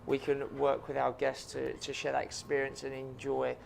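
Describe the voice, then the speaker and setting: A man's voice: mid-range voice, completely flat delivery, moderate pace, creaky, a little hiss or hum. A man, a chef and restaurant owner answering interview questions earnestly, with frequent ums and repeated words.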